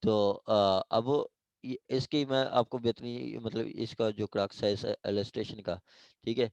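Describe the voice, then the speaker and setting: A man's voice: on the low side, steady tone, creaky, a little mellow, no echo, muffled recording. A man with a Pakistani accent giving an informal law lecture, explaining with examples, often hesitating and checking that listeners follow. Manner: repeats check phrases, hesitant, reads legal text slowly in English.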